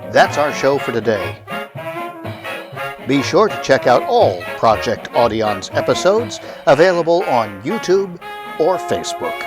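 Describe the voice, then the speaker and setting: Guy announcer doing a scripted outro, very expressive pitch, music behind him. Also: unhurried. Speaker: announcer of the audio drama group. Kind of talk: scripted sign-off narration.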